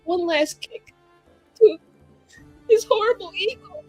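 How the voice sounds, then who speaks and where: A woman playing in character at a tabletop roleplaying session, talking in an emotive, halting, casual way with some swearing, over background music. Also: very high voice, bright, forceful tone, rough recording. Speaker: tabletop RPG player. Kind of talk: in-character improvised dialogue at actual-play game. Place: online streamed game session.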